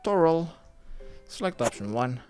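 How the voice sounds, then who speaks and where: A man's voice: fairly high pitch, sing-song, unhurried, darkish tone. A man narrating a step-by-step video game guide, giving plainly worded instructions as a tutorial voice-over. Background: music.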